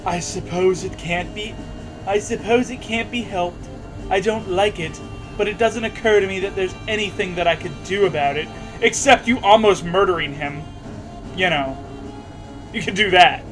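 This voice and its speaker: A man with a notably high voice reads aloud in a mocking, sarcastic way. He drops into casual banter and pronounces the punctuation as he goes. Music plays faintly behind him. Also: moderate pace, rough recording. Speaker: host reading fan fiction aloud.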